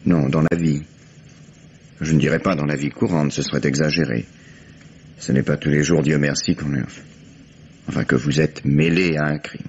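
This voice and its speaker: An actor playing a calm, shrewd police commissioner who questions a suspect in a measured, probing way, in the manner of a 1950s radio drama. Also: male voice, extremely low pitch. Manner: measured, probing, dry irony. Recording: dull old radio recording with faint hiss.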